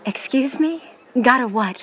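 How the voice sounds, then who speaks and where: A woman playing a skeptical, worried middle-aged mother in a 1950s period audio drama, speaking in a proper, measured way. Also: sits high, expressive, fairly bright tone, husky, heavily acted. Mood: skeptical, stern. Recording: dull, noisy recording with ambience.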